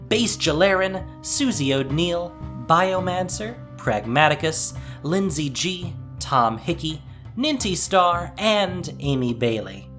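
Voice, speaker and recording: Expressive story narrator, lively pitch, medium register.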